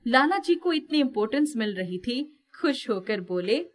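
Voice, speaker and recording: Female storyteller, slightly high voice, for scripted narration of a folk tale. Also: very animated, normal speed.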